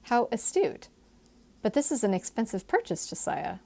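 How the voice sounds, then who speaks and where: A woman voicing a guarded, quick-witted young woman in a historical romance, tart and earnest. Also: a little high, very animated, gravelly, darkish tone. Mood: wary, questioning.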